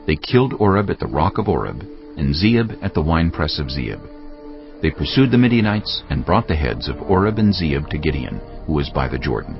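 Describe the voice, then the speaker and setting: A man's voice: rumbling low, very animated, fairly quick. A man narrating scripture from a script in a dramatic storytelling style. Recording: dull audio with music underneath. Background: music.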